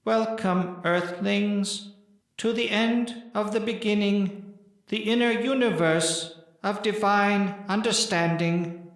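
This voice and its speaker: Man voicing a slow, grandiose alien circus master. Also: high voice, steady tone, very echoey. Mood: solemn, grand. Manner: slow, solemn, ceremonious. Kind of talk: audiobook character acting.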